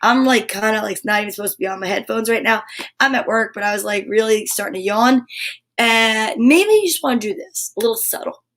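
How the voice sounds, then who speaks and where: A woman with a high voice hosts a live show for children. She talks straight to her viewers in an upbeat, chatty style. Her delivery is exuberant, emphatic and encouraging. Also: fairly quick, fairly bright tone. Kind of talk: upbeat live chat to viewers, reading picture books aloud.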